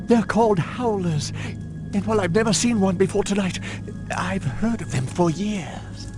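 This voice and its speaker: An actor playing an ailing, anxious war veteran and lord of a cursed manor in a video game, weary, formal and grave. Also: male voice, sits high, normal speed, music in the background, lightly acted. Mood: shaken, ominous. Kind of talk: video game cutscene dialogue.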